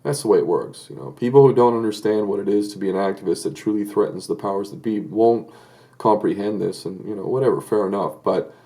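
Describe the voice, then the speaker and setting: A man's voice: lowish voice, quick pace, warm tone. A middle-aged man from Southern California giving a solo video commentary, earnest and hesitant, with many fillers and restarts. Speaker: political commentator.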